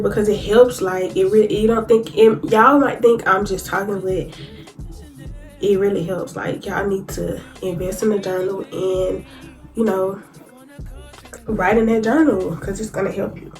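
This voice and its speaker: A woman's voice: medium pitch, a little mellow. A young woman with vlogging to camera, sitting down. She is congested from a cold, and she talks casually to her viewers, calling them y'all. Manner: chatty, addresses viewers as y'all, reads notes from her phone. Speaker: YouTuber. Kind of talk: sit-down casual vlog talk to camera. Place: indoors, sitting down.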